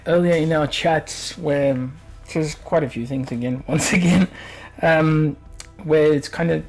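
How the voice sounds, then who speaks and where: A male podcast host with a Portuguese accent, speaking a bit high with a gravelly fry in his voice. He nods along with steady "yeah"s and builds long, meandering questions, with soft music in the background. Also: a little slow. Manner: affirming, with frequent "yeah" and long winding questions. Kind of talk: interview hosting.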